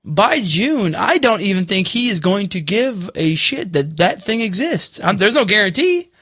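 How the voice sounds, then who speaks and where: A man with a high, bright voice talks on a podcast. He tells everyday stories casually and animatedly, with loose, salty language. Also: sing-song, moderate pace, husky. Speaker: podcast panelist. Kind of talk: casual chat.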